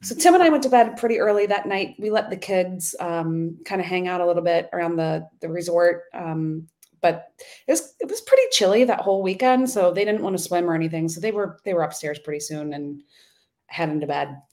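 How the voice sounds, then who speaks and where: A woman's voice: fairly low pitch, fairly quick, a little mellow. A woman co-hosting a podcast, telling a story in casual chat with many ums and restarts. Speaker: podcast co-host.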